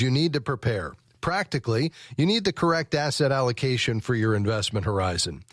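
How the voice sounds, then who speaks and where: A man's voice: medium pitch, dry recording, clean studio sound. A man presenting a scripted financial advice segment for radio.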